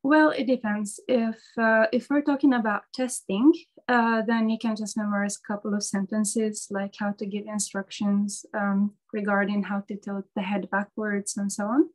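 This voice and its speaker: A woman speaking English as a second language gives a relaxed talk over a video call. She talks hesitantly, going back over her words as she restarts. Her voice sits at a middle pitch. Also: normal speed. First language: not English. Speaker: medical student speaker.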